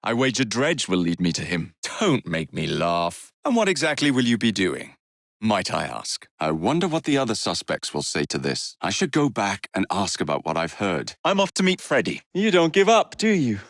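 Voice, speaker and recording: An actor playing a cocky, witty young English gang leader in a Victorian video game, flippant and theatrical. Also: male voice, lowish voice, huge pitch swings, moderate pace, no room sound, clean studio sound. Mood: dry, wry. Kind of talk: video game character voice lines.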